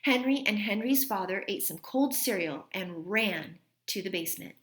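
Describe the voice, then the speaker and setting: A woman reading a children's storybook aloud in an expressive manner, like a teacher. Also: medium pitch, moderate pace, echoey room.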